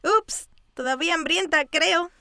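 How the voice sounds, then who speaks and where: A woman voicing a cheeky, excitable young girl in an animated children's cartoon, playful and exaggerated. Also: extremely high pitch, a little slow, full character voice. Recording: music behind the voice.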